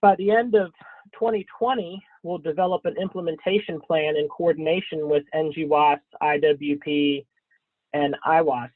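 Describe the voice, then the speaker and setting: A man's voice: a little high, steady tone, no echo. Scratchy man on a phone-like line. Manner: halting, frequent ums and throat clearing. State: scratchy from a cold. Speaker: webinar presenter. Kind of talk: webinar slide presentation. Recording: thin phone-like webinar audio, dry.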